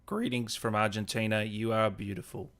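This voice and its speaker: A man's voice: on the low side, fairly even intonation, moderate pace, fairly bright tone. Informal man hosting a casual interview. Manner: informal, mildly sweary, enthusiastic. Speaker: interview host. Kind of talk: casual interview chat, reading viewer questions.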